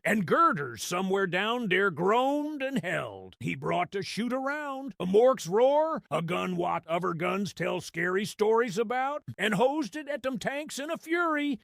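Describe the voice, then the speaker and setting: Creaky, high-pitched goblin storyteller. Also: male voice, fairly bright tone, no echo, clean studio sound, exaggerated voice. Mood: wry, awed. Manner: colloquial, dramatic, slangy storyteller. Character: small scrappy male grot goblin storyteller, sly, awed, gossipy. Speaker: narrator. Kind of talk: first-person audio drama storytelling.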